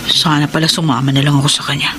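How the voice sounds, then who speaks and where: A woman's voice: low voice, little pitch movement, quick pace, background noise, lightly acted. A woman playing a jealous, combative wife in a Filipino radio drama, sarcastic and accusing with melodramatic delivery. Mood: annoyed, waiting. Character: jealous, combative wife confronting her cheating husband.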